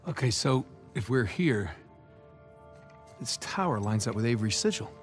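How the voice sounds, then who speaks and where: Male actor as wry adventurer, mid voice, quick jokes, light score behind. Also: mid-range voice, lively intonation, close to an everyday voice. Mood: playful. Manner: quippy, easygoing, quick to laugh. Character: wisecracking young treasure hunter, quick and wry. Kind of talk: video game cutscene acting. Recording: game audio with music behind.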